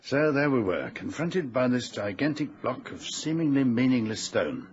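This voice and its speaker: A man plays a learned old titled gentleman of a radio drama, genteel and genial. His delivery swings widely in pitch as he explains things in formal phrases. The recording is dull, with a faint hiss. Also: sing-song, normal speed, close to an everyday voice. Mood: scholarly, expansive. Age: older. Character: genial, learned old titled English explorer, fatherly and dismissive.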